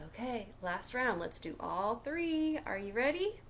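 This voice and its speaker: Woman, children's storytime reader, slightly high pitch, unhurried expressive delivery, some background noise. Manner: slow, deliberate, expressive storytelling. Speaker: children's librarian storytime host. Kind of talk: read-aloud picture book storytime for young children.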